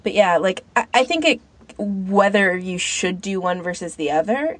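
A woman with a mid-pitched voice talks casually as a podcast co-host. She is informal and opinionated, and says "like" often. The recording is dry. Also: animated, normal speed, no echo. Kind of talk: casual chat.

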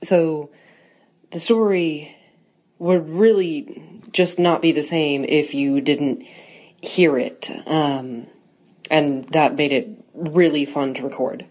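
A woman's voice: low-pitched, slow pace, a little mellow. A woman giving an informal personal account in a recorded message for a podcast.

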